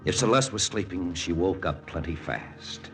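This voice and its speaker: Low-voiced hardboiled lieutenant. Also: male voice, normal speed. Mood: tense, terse. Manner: terse, deadpan first-person narration. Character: hardboiled American lieutenant, wry, jealous, narrating his own spy story. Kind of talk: 1940s radio drama acting. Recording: old radio recording with music underneath.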